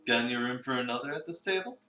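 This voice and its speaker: A man's voice: mid-range voice, quick pace, pushed and bright, faint music behind, natural voice. A man voicing a polite, slightly stuffy, fatherly cafe staffer in a visual novel, with a mild, formal manner. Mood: mildly stern. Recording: roomy, distant mic.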